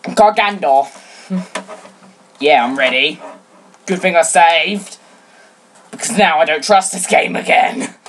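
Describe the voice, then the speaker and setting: A teenage girl whose first language is French, chatting excitably and rambling while playing a video game, with sudden shouts. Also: low-pitched, slow pace, pauses often, a little hiss or hum. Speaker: let's play gamer. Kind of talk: casual game commentary. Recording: loud game music under voice.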